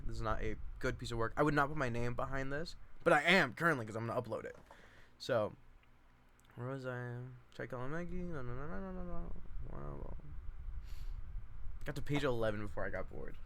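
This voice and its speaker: A man's voice: medium pitch, a little fast, fairly bright tone, slight background noise. A man rambling casually to viewers as an amateur online reader, self-deprecating and given to swearing.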